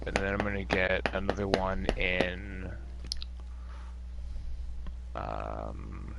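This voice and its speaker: Deep rough-voiced player, full of questions. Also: male voice, very slow, noisy background. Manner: casual, asks lots of questions, swears. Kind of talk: casual chat at a tabletop game.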